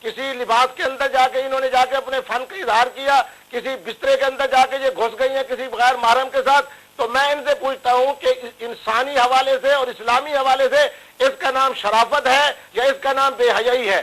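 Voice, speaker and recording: A man's voice: very high voice, fairly even intonation, normal speed, a bit bright, low-quality recording. A man with a Pakistani Urdu accent, a cleric guest in a heated TV debate, speaking in formal, preachy religious diction.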